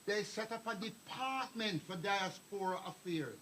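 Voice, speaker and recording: A man's voice: sits high, animated, measured pace, bright, forceful tone, slight background noise, low-quality recording. Older man addressing a meeting. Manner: rambling, anecdotal, addresses the crowd directly. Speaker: speaker at a community meeting. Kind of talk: public address to an audience. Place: meeting hall.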